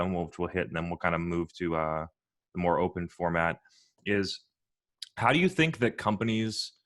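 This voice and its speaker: A man hosting a casual chat, easygoing and a bit halting as he talks. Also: low voice, fairly bright tone. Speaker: host.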